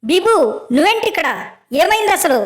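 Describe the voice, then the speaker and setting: A brave young penguin heroine, acted by a woman in a children's animated story. The voice is high and roomy, with a kind, reassuring manner that takes charge and gives clear instructions. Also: a little fast. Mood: concerned, reassuring.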